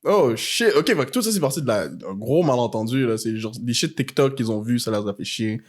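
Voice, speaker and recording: Fast-talking Quebec podcast host. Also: male voice, mid-range voice, lively intonation. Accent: Quebec French. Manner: quick, slangy, mixes English words into French. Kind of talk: casual podcast conversation.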